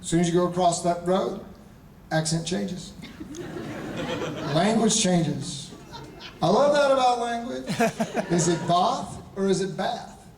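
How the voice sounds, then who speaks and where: Male convention panelist, 40s, animated raconteur, high-ish voice, distant and roomy. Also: takes it slowly, long pauses, a crowd in the background. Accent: Charlotte, North Carolina. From North Carolina, US. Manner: animated storyteller, laughing, playful asides. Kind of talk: live convention Q&A. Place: large convention hall. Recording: echoey hall from far off.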